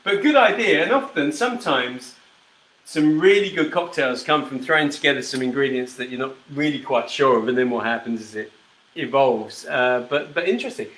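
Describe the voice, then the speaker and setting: A man's voice: mid-range voice, normal speed, a bit bright, rough recording. Chatty man hosting a live whisky show. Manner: chatty, reads viewer comments aloud. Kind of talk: casual live-stream chat.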